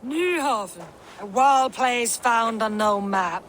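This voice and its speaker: Bossy, sharp-voiced Norse overseer woman. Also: fairly high pitch, lively intonation, takes it slowly, slight background noise. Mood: scornful. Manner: curt, scornful, commanding. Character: gruff, bossy Norse female camp overseer. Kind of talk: video game voice acting.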